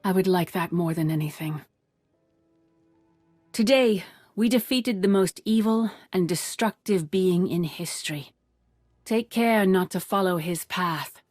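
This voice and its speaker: Older female Jedi master for a game: wise, solemn, measured and formal, lowish voice, dry recording with faint music. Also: a little mellow, no echo. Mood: grave, sincere. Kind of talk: video game cutscene acting.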